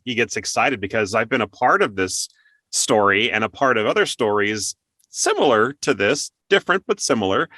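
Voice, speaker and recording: A man's voice: medium pitch, bright, forceful tone, dry recording. A man hosting a casual interview in an affirming, conversational manner. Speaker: interview host.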